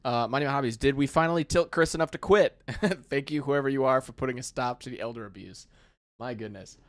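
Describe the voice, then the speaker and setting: A man's voice: mid-range voice, fast. A man in his thirties co-hosting a hobby livestream, reading viewer comments aloud and teasing his co-hosts in casual chat. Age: 30s. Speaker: co-host of a hobby paint livestream.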